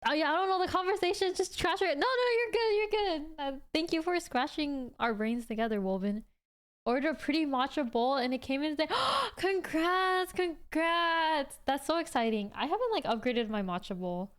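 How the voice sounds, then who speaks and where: A woman's voice: sits high, lively intonation, normal speed. A woman in her twenties hosting a livestream, chatting casually with viewers in a rambling way full of "like". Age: 20s. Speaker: livestream host.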